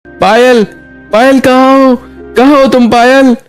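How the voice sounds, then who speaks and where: A man's voice: very high-pitched, steady tone, takes it slowly, clean tone, soft background music, voice pushed up. A man voicing an anxious, protective young husband in a Hindi moral story, speaking urgently and emphatically. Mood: frantic.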